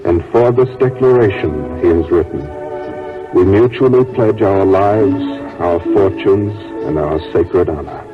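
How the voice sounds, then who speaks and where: Grave low-pitched male radio narrator. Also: measured pace. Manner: grave, dramatic. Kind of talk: solemn scripted 1940s radio narration. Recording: tinny narrow-band 1940s radio recording. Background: music.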